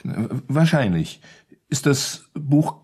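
Flustered, husky, jealous husband. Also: male voice, mid-range voice, expressive, a little mellow, heavily acted. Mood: irritated. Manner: flustered, halting, prickly. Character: jealous, irritable, suspicious husband. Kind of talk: German radio play acting.

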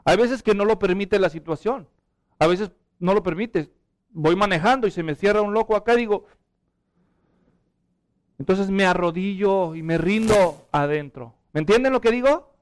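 A middle-aged man with a Mexican Spanish accent delivers a passionate sermon. His voice sits high and sounds smooth and resonant. He sinks to hushed, pleading tones, then lifts into urgent appeals. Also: normal speed. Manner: impassioned, drops to hushed prayer then rises to urgent appeals. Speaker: pastor.

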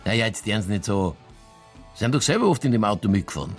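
An actor playing a casual, persistent police detective in a radio drama, asking matter-of-fact questions with a Bavarian accent. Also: male voice, lowish voice, expressive, quick pace, soft background music, natural voice. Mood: coaxing, persistent. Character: low-key male police detective, persistent and dryly casual. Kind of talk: naturalistic radio drama dialogue.

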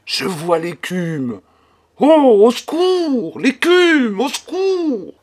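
An actor playing a panicky, pompous small-town notary in a dramatised story, indignant, emphatic and theatrical. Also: male voice, fairly high pitch, sing-song, takes it slowly, heavily acted. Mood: terrified. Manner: theatrical, exclamatory, solemn and emphatic. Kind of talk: dramatised literary audiobook acting.

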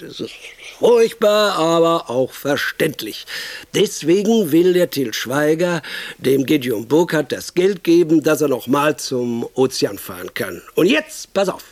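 An actor playing a brash, pushy, excitable film idea man in a radio drama, rambling insistently and interrupting himself as he pitches. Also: dry recording, exaggerated voice. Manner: rambling, insistent, self-interrupting, swinging into emphatic outbursts.

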